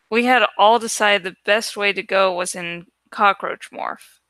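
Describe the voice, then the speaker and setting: A woman reading a young adult novel aloud in an expressive, deliberate, dramatic way. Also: mid-range voice, smooth, no echo. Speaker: audiobook reader.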